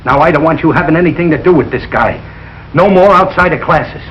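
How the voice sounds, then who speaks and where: A man's voice: fairly high pitch, fast, fairly bright tone, close to an everyday voice. An actor playing a blustering, suspicious working-class father with blunt, ungrammatical talk in a 1940s radio sitcom. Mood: indignant, stubborn. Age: middle-aged. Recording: muffled, noisy old radio recording.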